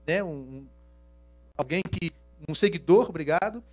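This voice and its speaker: A man from Rio with a Minas Gerais accent, preaching a sermon, swinging from hushed asides to loud, drawn-out emphasis. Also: on the high side, expressive. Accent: Minas Gerais Portuguese. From Rio de Janeiro, Brazil. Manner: shifts from hushed asides to loud, slow emphatic scripture reading. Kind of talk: sermon to a congregation.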